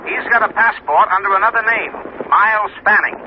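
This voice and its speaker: Actor as high-voiced Treasury agent, vintage radio. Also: male voice, fairly even intonation, fairly quick, close to an everyday voice. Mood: brisk, informative. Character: brisk, official Treasury narcotics agent, grown man. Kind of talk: 1950s radio drama acting. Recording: muffled, noisy 1950 radio recording.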